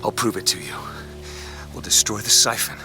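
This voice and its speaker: An actor voicing a hardened, protective ex-detective in a video game, speaking in terse commands. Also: male voice, fairly low pitch, moderate pace, lightly acted. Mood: earnest. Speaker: hero protagonist. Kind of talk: video game cutscene voice acting. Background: loud music and gunfire.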